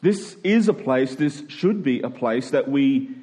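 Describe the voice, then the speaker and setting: An Australian man preaching a sermon as a church pastor, earnest and emphatic with deliberate phrasing. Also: mid-range voice, expressive, dark tone, poor audio.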